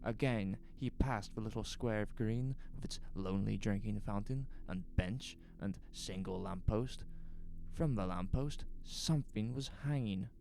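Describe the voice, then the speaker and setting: A man narrating a scripted horror story in a measured, suspenseful way. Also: on the low side, creaky, dark tone, a little hiss or hum, poor audio.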